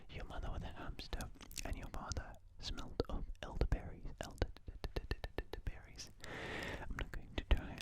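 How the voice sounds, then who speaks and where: Casting: ASMR host for whispered quote readings, breathy and unvoiced, very unhurried delivery.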